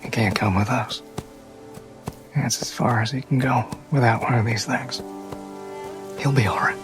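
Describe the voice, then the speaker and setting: A man playing a weakened, fond uncle adventurer with a halting, wry, understated way of talking, in a tabletop fantasy game. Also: mid-range voice, steady tone, over music, lightly acted. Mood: quietly resigned.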